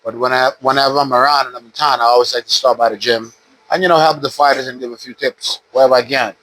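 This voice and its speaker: A man in his fifties from the Bahamas, a retired boxer calmly telling his story in an interview at a boxing gym. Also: medium pitch, fast, no echo, rough recording. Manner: relaxed, steady storytelling with frequent "uh". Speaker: retired pro boxer, guest. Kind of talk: casual interview.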